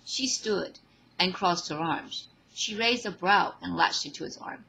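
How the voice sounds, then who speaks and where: A woman's voice: fairly low pitch, a little slow, creaky, bright, forceful tone, a little hiss or hum, poor audio. A woman reading scripted story narration as the narrator.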